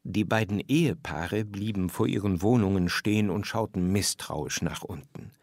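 A man narrating a scripted children's story, reading aloud expressively and with clear phrasing. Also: on the low side, normal speed, darkish tone, no echo, studio quality.

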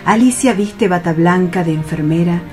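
Lowish-voiced woman narrator. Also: moderate pace, warm tone, faint music behind. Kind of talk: scripted radio drama narration.

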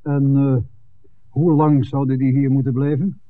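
An actor playing a middle-aged, guarded village innkeeper, hesitant and grudging, in a 1960s Dutch radio drama. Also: male voice, mid-range voice, little pitch movement, unhurried, close to an everyday voice. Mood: wary. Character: guarded, discouraging village innkeeper, father of grown daughter. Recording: tinny, narrow old radio recording.

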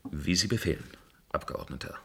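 Deep, husky, deferential control engineer. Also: male voice, animated, a little fast, pushed and bright, full character voice. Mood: deferential, defensive. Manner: dutiful, formal, matter-of-fact reporting. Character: deferential male control engineer serving a dystopian bureaucracy. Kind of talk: German radio play acting.

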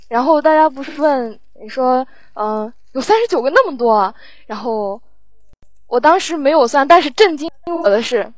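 A woman chatting casually in a halting, hesitant way as she recounts production work as a guest. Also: sits high, dry recording.

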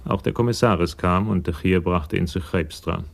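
A deep-voiced man narrates a German radio crime drama from a script. His voice has a gravelly creak. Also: moderate pace.

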